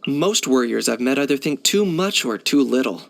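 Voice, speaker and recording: A man's voice: mid-range voice, expressive, normal speed, vocal fry. A man playing a cool, pragmatic young hunter and mercenary in a video game, composed and matter-of-fact with a dry delivery. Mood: reflective, composed. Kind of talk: video game character acting.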